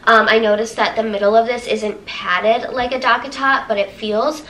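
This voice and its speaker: Young woman, stuffy nasal voice, upbeat chatty vlogger, fairly high pitch, living room. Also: fairly even intonation, normal speed, bright, forceful tone, rough recording. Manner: chatty, upbeat, laughs often. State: congested, nasal. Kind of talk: casual haul video. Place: living room, seated on the floor. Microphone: camera held at arm's length, then a camera across the room.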